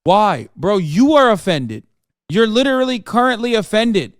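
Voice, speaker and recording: A man's voice: high-pitched, very animated, normal speed, no echo, clean studio sound. Teasing, slangy young man co-streamer. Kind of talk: casual reaction stream commentary.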